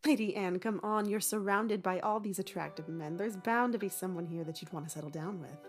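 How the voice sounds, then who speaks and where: A woman's voice: mid-range voice, fairly quick, soft background music, natural voice. A woman playing a nosy, playful young friend who loves to tease, in an audio drama. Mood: playful, teasing.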